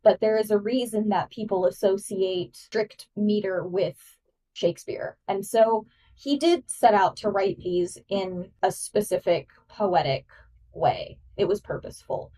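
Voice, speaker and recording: Brief: enthusiastic female podcast co-host, poetry nerd, mid-pitched voice, recorded slightly off-mic. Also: unhurried, mellow, recorded from a distance, low-quality recording. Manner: enthusiastic, explanatory, nerdy about poetry. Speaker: guest host. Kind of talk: podcast discussion.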